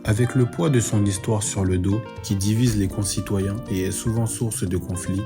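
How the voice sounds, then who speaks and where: Male founder reading formal intro voice-over, lowish voice, guitar music clearly behind. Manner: formal and measured. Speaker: production company founder.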